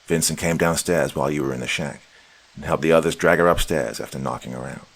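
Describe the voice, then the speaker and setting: A man playing a nervous young man who explains anxiously. His deep voice stays close to a plain reading. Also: fast, creaky, husky, exaggerated voice.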